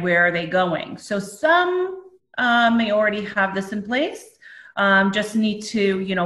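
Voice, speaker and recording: A woman's voice: mid-range voice, a bit bright. A woman hosting as a consultant, talking conversationally in a panel discussion. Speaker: host and consultant. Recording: roomy, echoing sound.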